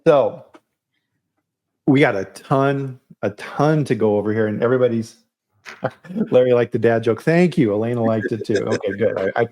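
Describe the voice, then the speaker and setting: A man's voice: mid-range voice, moderate pace, long pauses, a little mellow. Chatty man hosting a live chat. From United States. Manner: chatty, restarts and stammers. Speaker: podcast host.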